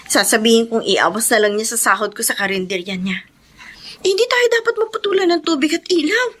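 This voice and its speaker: High-pitched, earnest young daughter. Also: female voice, lively intonation, fairly quick, a bit bright, breathy edge. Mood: worried, resolute. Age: young adult. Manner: pleading, earnest. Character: dutiful, worried young elder daughter. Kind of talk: Filipino radio drama acting.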